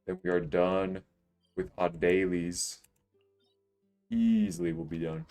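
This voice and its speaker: A young man in his twenties with a deep, low voice, rambling and joking in a relaxed way as he streams a game. Faint game music plays behind him. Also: takes it slowly. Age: 20s. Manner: laid-back, joking, rambling. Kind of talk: casual live-stream chat while gaming.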